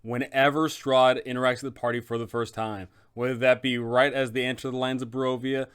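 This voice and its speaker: Man, mid-range crisp voice, enthusiastic explainer narration, game master advice, emphatic and conversational. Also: mid-range voice, level tone, a little fast, fairly bright tone. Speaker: host of a tabletop game master's guide.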